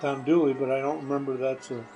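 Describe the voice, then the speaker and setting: Older man giving plainspoken, halting answers. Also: medium pitch, level tone, noisy background. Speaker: podcast guest, hosts' father. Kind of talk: casual interview answers.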